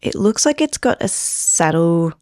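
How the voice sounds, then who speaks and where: Woman, knitwear designer, lower register, casual and rambling, slightly phlegmy, dry close sound. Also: sing-song, measured pace, darkish tone, no echo. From Australia. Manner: chatty, rambling, many filler "like"s. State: phlegmy throat from a cold. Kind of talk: casual pattern review chat.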